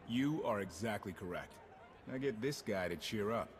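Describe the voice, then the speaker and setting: A man's voice: on the low side, expressive, normal speed, a little hiss or hum, close to an everyday voice. An actor playing a blunt, wisecracking male rebel pilot in a game, casual and sardonic. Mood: joking.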